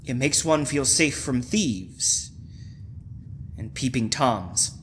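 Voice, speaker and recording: Creepy crazy hotel keeper in a radio thriller, slow and halting, pitch swinging all over. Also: male voice, expressive, slight background noise. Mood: falsely solicitous. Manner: halting, softly insinuating, wide emotional swings. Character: deranged, menacing male hotel keeper, mock-solicitous and obsessive. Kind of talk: old-time radio thriller acting.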